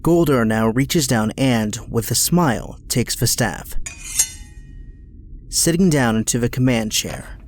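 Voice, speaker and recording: A man's voice: mid-range voice, expressive, slow pace, a little hiss or hum. A man narrating an audio drama in a scripted, dramatic storytelling style.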